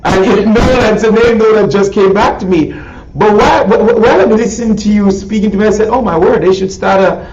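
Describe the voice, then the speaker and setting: A middle-aged Jamaican man interviews a guest, stopping often on ums and repeated words. His voice sits a little high for a man. It comes through as a dull, roomy recording from some distance off the mic. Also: darkish tone, slight background noise. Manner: halting, many ums and repeats. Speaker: talk show host. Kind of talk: casual interview. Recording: echoey distant lo-fi video call.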